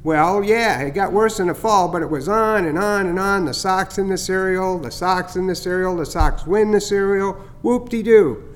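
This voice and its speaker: A man's voice: sits high, lightly acted. A man voicing a motor-mouthed, cheeky male starling who rambles and jokes. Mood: mocking. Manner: rapid, jokey, rambling.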